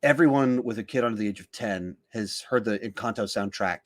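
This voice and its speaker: A man's voice: lowish voice, fairly quick. A man, hosting a podcast and talking film in a casual, conversational style. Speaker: podcast host.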